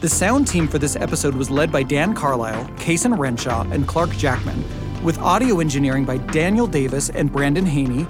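A male podcast host with a fairly high voice. He narrates and chats in a presenter's scripted style, with clear music playing behind him. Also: expressive, fairly quick.

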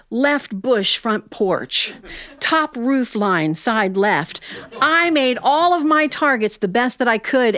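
A woman's voice: fairly high pitch, fairly bright tone, clean tone. Folksy American woman storyteller. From Ohio, United States. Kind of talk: live oral storytelling, humorous personal tale.

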